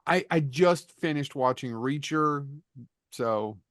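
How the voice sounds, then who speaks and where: A man's voice: a little high, takes it slowly, fairly bright tone, dry recording, clean studio sound. A middle-aged man co-hosting a podcast, chatting casually in a blunt, joking, sweary manner. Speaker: podcast co-host. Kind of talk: casual comedic banter.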